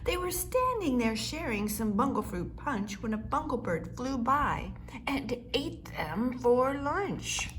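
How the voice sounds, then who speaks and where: A woman reading a rhyming children's story aloud with measured, expressive storytelling. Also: high-pitched, a little hiss or hum. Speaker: storybook reader.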